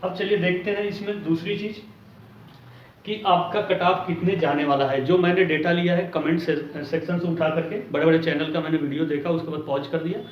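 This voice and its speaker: A man's voice: fairly high pitch, steady tone, fairly quick, slight background noise. A man giving an informal explanatory lesson as an exam coaching teacher, often checking in with short tag words. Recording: roomy, distant mic, dull lo-fi sound.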